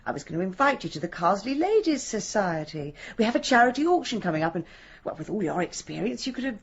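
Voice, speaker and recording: A woman's voice: mid-range voice, very animated, fast talker, slight background noise. Kindly polite village lady, hesitant and sing-song, radio play, slightly dull recording. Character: kindly, genteel village lady, gently encouraging. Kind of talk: radio drama acting.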